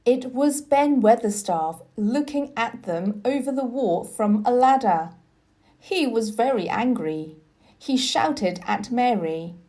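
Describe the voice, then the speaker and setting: A woman reading scripted narration for children like a teacher, deliberate and expressive. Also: fairly high pitch. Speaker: storybook reader, teacher.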